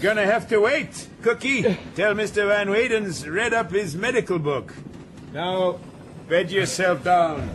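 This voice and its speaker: An actor playing a domineering, defiant sea captain who barks commanding orders in a radio drama. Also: male voice, sits high, expressive, unhurried. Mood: gruff, weary. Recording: loud storm and sea noise over voices.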